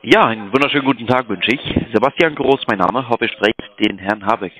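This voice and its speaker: A man's voice: medium pitch, brisk, fairly bright tone, poor audio. A man making a polite sales call, deferential, apologetic and hesitant in the way he talks. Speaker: cold-calling sales agent.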